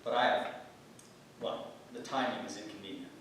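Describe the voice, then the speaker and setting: An actor playing a stern, self-righteous Puritan man in a staged play reading, formal, deliberate and bitterly moralizing. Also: lowish voice, fairly even intonation, a bit bright, close to an everyday voice. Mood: restrained, weary. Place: stage in a theatre. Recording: roomy far-miked theatre, faint noise.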